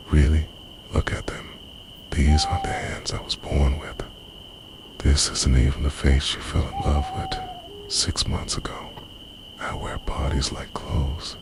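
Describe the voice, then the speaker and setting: Man playing an obsessive, very deep whispering immortal lover. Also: full character voice. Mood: intense, obsessive. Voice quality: breathy, half-whispered, very low. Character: obsessive centuries-old body-stealing male lover, tender and possessive. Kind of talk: intimate ASMR roleplay monologue. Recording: noisy, owls and insects behind.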